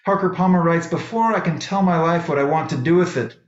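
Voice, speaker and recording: A male minister with a slightly high voice delivers a sermon in deliberate, measured phrases, pausing between thoughts. The sound is clearly roomy and echoing, as in a worship space, and a little dull on the top end. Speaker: minister preaching to a congregation. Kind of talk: sermon with poetry readings.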